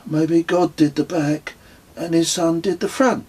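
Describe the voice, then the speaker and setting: A cheeky nine-year-old boy acted by a man, pitched up and husky. He speaks in short, deadpan lines, as a character in an audiobook memoir. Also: a little slow. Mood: curious, musing.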